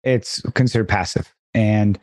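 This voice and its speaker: Man, podcast co-host, mid-pitch, a little froggy, steady and explanatory, close dry sound. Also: unhurried, no room sound. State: slightly froggy voice. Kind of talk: casual podcast chat.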